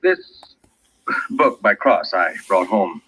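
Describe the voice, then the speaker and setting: Earnest, uneasy husband, lively pitch. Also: male voice, slow, husky, exaggerated voice. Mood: uneasy, probing. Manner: hesitant, earnest. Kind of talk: 1940s radio acting. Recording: dull, noisy old radio recording.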